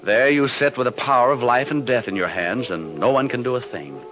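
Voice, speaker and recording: An actor playing a wry, cool-headed private detective in a 1950s radio crime drama, glib and coaxing with a wisecracking manner. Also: male voice, medium pitch, a little fast, lo-fi sound, close to an everyday voice. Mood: coaxing, calm. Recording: old radio recording, faint music.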